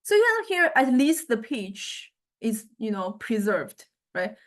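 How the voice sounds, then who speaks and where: A woman gives an explanatory lecture as she demonstrates software. Her voice sits somewhat high. She speaks haltingly, repeating words and searching for the right term as she goes. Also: big pitch swings.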